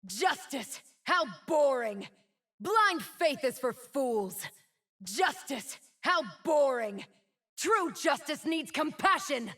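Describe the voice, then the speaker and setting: Slow solemn game villainess type, bitter fallen winged woman, brooding and defiant. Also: high-pitched, very animated, fairly bright tone, full character voice. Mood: scornful, defiant. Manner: slow, solemn, theatrical delivery. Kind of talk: dramatic video game champion voice lines.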